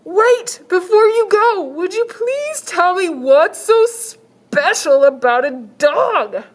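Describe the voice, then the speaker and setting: Lady reading as a pushy, eager shelter guy for a storybook, high lively voice, slow big pitch. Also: full character voice. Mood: apologetic eager. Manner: slow, pleading, showy salesman pitch. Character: eager male animal shelter worker.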